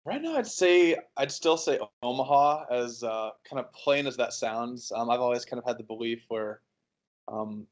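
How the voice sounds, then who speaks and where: A man in his early twenties from the United States, answering interview questions in a relaxed, rambling way with many fillers and restarts. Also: mid-range voice, normal speed. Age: 20s. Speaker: interview guest.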